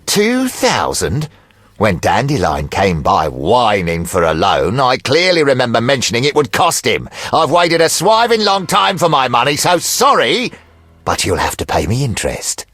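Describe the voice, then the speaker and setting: A man's voice: sits low, big pitch swings, moderate pace, few pauses, a bit bright, no room sound, exaggerated voice. An actor playing a sadistic, gloating male loan shark with a taunting, theatrical way of threatening, in a video game. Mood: menacing. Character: sadistic, menacing male loan shark who keeps beasts.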